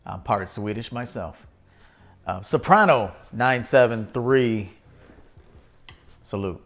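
A man's voice: on the low side, slow, creaky. A man in his thirties hosting a live stream from a small indoor studio, casually reading and answering viewer comments. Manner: laid-back, shouting out viewers by name. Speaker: host.

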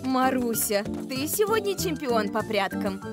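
A woman's voice: high-pitched, sing-song, a little slow, over loud music. An actress playing a busy, friendly young mother in a children's cartoon. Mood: proud.

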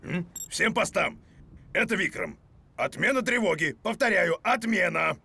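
An actor playing a bumbling middle-aged police officer in a dubbed cartoon, with a slow, swooping delivery. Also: male voice, high-pitched, lively intonation, gravelly, sharp tone, breathy edge, exaggerated voice. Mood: flustered.

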